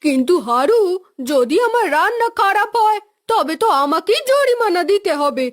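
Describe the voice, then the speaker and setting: Woman acting as cartoon village housewife, scheming, competitive, extremely high voice, very animated intonation. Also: moderate pace. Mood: worried. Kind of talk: cartoon fairy-tale voice acting.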